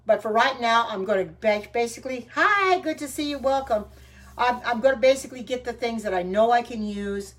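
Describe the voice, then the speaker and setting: A woman's voice: a little high, fairly quick. An older woman chatting casually on a live stream while baking, greeting viewers by name and wandering from topic to topic with homespun sayings. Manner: frequent ums and restarts, homespun sayings. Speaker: home cooking livestreamer.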